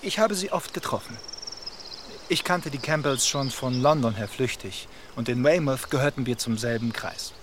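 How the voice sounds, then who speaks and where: An actor playing a charming, talkative young gentleman in a radio play, lively and gossipy. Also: mid-range voice, a little hiss or hum, lightly acted.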